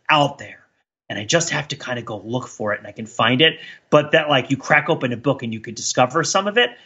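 A man with a bright, slightly high voice talks casually as a podcast co-host. He rambles reflectively, repeating words as he works out his thought. The recording is a little dull and lo-fi. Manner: rambling, halting, repeats words while thinking aloud.

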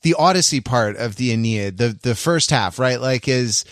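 A man with a medium-pitched voice chats casually as a podcast co-host. He feels his way through phrases haltingly, with wry self-deprecation. The sound is dry, with little room around it. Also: expressive, fairly bright tone, dry recording. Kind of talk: casual chat.

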